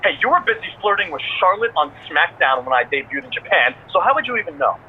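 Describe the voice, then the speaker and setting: A man's voice: on the high side, sing-song, quick pace, phone-quality sound. An actor playing a goofy, boastful male podcast co-host in a video game, joking and teasing, with band-limited sound. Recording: thin band-limited sound, faint noise.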